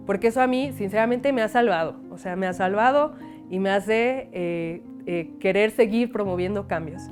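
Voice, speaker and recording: A woman with a mid-range voice speaking earnestly and directly to the viewer, hesitating now and then. Soft guitar music plays underneath. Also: mid-range voice. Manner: earnest, direct address, "eh" fillers. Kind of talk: heartfelt appeal in documentary.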